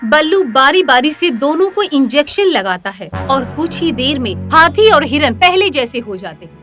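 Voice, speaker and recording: A woman tells a scripted cartoon tale as its narrator. Her voice is high and bright, with animated rises and falls, over background music. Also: normal speed. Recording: music under the voice.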